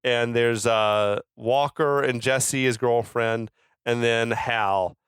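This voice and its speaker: Smooth-voiced man swearing through casual film chat. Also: medium pitch, steady tone, slow pace, a bit bright, dry recording, studio quality. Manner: profane, slangy storytelling. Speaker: podcast co-host.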